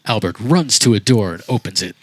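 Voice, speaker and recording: A man's voice: lowish voice, expressive, brisk, a little hiss or hum. A man reading scripted stage directions as the narrator of a comedy, in a matter-of-fact way. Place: backyard, live performance.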